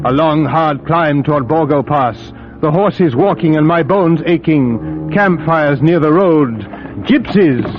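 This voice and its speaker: An actor playing a proper, earnest English solicitor, speaking formally in 1940s radio drama style. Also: male voice, a little high, close to an everyday voice. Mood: tense narration. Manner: formal, earnest, deliberate phrasing. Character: proper, puzzled young English solicitor keeping a journal. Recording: muffled 1940s radio recording. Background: music.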